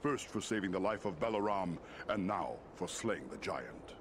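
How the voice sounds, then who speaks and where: Stern old-world ruler of giant eagles, fantasy game: measured authority, expressive intonation, clear noise behind. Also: male voice, low-pitched. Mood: grateful, measured. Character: regal male giant eagle lord, wise and stern. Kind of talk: scripted fantasy game dialogue.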